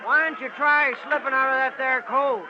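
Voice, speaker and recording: An actor playing a folksy, plainspoken fairground local with a rural American accent in a 1940s radio drama. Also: male voice, extremely high pitch, steady tone, moderate pace. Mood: laconic, helpful. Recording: dull, noisy old radio recording.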